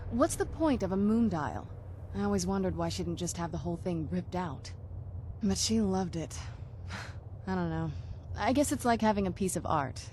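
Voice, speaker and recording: A woman's voice: on the low side, a little mellow, natural voice. Woman acting a wistful young vampire noblewoman, noisy ambience. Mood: musing. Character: young noblewoman vampire, wistful, earnest, daughter of castle lords. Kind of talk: video game voice acting.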